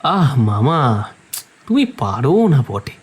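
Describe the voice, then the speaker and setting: A man's voice: mid-range voice, very animated, slow, breathy edge, exaggerated voice. An actor playing a cheerful young man who is teasing yet shy, in an audio drama. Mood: sheepish. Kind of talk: Bengali audio story acting.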